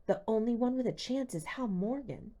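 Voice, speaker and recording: A woman's voice: on the high side, expressive, normal speed, dark tone, voice pushed up. A woman voicing a chatty, curious teenage schoolgirl in an audiobook, with a casual, gossipy manner.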